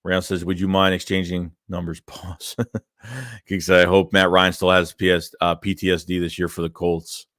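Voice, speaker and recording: A man's voice: low-pitched, level tone, moderate pace, fairly bright tone, dry recording, clean studio sound. A young man hosting a casual fan livestream, reading chat messages and rambling loosely with lots of "man" and "dude". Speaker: fan channel host reading live chat.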